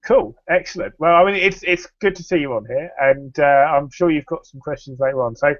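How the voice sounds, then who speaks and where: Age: adult. Sex: man. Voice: fairly high. Role: host. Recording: dry, dull call audio. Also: brisk, no echo. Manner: relaxed, chatty, a few restarts. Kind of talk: casual chat. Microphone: online call.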